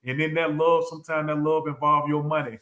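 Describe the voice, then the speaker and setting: Higher-pitched man co-hosting faith talk. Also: level tone, fairly quick, bright, forceful tone. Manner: interjecting, repeats short phrases for emphasis. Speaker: co-host. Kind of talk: casual faith conversation.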